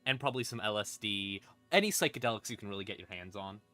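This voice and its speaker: A man casually explaining game lore on a stream in an irreverent, sweary, rambling way, with dramatic pauses for emphasis. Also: on the low side, bright, forceful tone. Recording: music playing in the background. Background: creepy ambient music.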